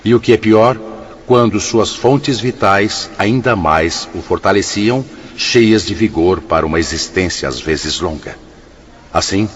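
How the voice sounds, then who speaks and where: An actor with a Brazilian accent playing a grave, wise spirit mentor in a radio drama, measured and oratorical. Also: male voice, low-pitched, lo-fi sound, a little hiss or hum.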